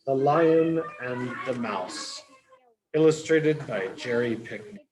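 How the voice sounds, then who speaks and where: A man reads a storybook aloud for small children. His pace is slow, with deliberate pauses. He explains in short, simple phrases, like a teacher. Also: medium pitch, lively intonation, background noise. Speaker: children's storybook reader, early education teacher.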